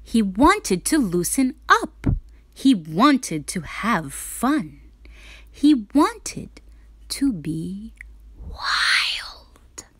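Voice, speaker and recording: A woman reading a children's picture book aloud as a storyteller, expressive and dramatic, sometimes dropping to a whisper for emphasis. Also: medium pitch, drawn-out words, breathy edge, no room sound.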